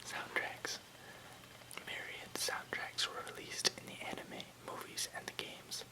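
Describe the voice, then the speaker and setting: This is an ASMR host who never rises above a breathy whisper. The delivery is that of someone reading aloud informally, with casual phrasing and small stumbles over words. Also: unhurried, low-quality recording.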